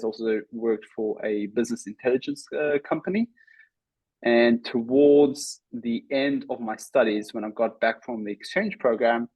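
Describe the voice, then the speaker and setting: A man with a South African accent talks in short bursts, filling the gaps with ums and uhs. His voice sits at a middling pitch for a man. The sound is dry and close, with no room around it. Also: darkish tone, no room sound, low-quality recording. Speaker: guest, startup CEO. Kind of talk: casual interview talk.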